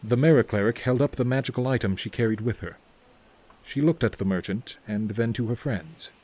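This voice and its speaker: A man's voice: mid-range voice, fairly quick, a little mellow, low-quality recording. A man reading scripted narration for a fantasy story as its narrator.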